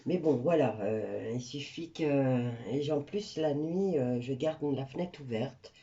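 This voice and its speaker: A woman's voice: extremely low pitch, measured pace, warm tone, lo-fi sound. A woman with a stuffy cold doing a casual tarot reading for viewers, chatty and rambling with many hesitations. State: stuffy nose from a cold, coughing. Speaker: tarot card reader.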